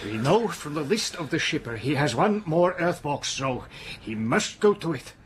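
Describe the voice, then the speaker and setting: A man's voice: a little high, very animated, moderate pace, a crowd in the background. An actor playing a solemn, fatherly professor who hunts vampires in a gothic audio drama, speaking in stilted phrases. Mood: grave.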